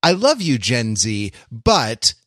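A man hosting a podcast in casual analytical chat, wordy and wry, halting and circling back as he thinks. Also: medium pitch, big pitch swings, a bit bright, no echo, studio quality. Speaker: podcast host.

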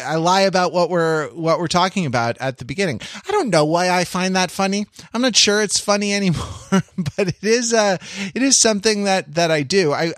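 Higher-pitched man, rambling and wry. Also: a bit bright, no room sound, clean studio sound. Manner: rambling, halting, wry tongue-in-cheek asides. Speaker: podcast co-host. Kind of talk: casual conversational podcast banter.

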